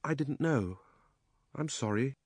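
Storybook schoolgirl, played by a male narrator: high-pitched, prim, eager, quick to turn indignant, swooping intonation. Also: huge pitch swings, a little mellow, breathy edge, no room sound, clean studio sound, exaggerated voice. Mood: humble. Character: Polly Plummer, curious young London girl, bright and indignant. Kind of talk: storybook character voice.

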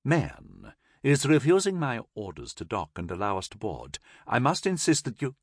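A man's voice: medium pitch, wildly swooping pitch, normal speed, dry recording, studio quality. A man voicing an obnoxious, entitled young aristocratic army officer in an audiobook, pompous and blustering with indignation. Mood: frustrated insistence.

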